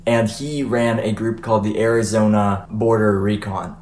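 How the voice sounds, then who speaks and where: A man's voice: fairly low pitch, little pitch movement, normal speed, a little mellow. A young man hosting a casual podcast, chatting loosely with fillers and restarts. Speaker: podcast host. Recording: echoey room, faint noise.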